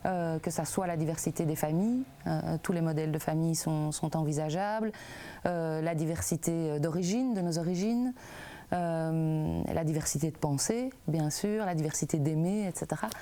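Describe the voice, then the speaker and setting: A woman's voice: low voice, moderate pace, mellow. A woman from an advocacy association answering interview questions, thinking aloud and searching for words. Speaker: association worker.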